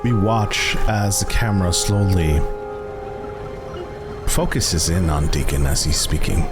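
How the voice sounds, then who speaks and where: A man narrating a tabletop game as game master, setting scenes cinematically with deliberate, dramatic phrasing. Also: low voice. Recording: loud music behind the voice.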